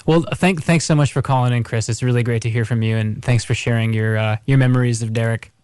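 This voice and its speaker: A man's voice: mid-range voice, fairly even intonation, fast talker, darkish tone, clear, resonant tone, no echo. Dry-sounding male radio host. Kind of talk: casual interview.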